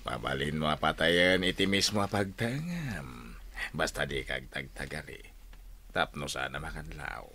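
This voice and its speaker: An actor playing a stern, commanding army general in an Ilocano radio drama, speaking with reproach. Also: male voice, extremely low pitch, lively intonation, measured pace, sharp tone, breathy edge, heavily acted. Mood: reproachful.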